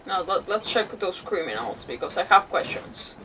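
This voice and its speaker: A woman's voice: lowish voice, sing-song, normal speed, sharp tone, distant mic, a little hiss or hum, low-quality recording. A woman streaming a video game with casual live commentary, muttering to herself as she reacts. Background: game music.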